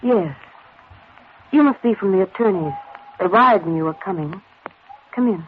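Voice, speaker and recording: A woman's voice: mid-range voice, lively intonation, moderate pace, a faint crowd, lightly acted. Woman playing a wistful young widow, tinny old radio. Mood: guarded, curious. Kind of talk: 1940s radio drama acting. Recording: tinny, noisy 1940s radio recording.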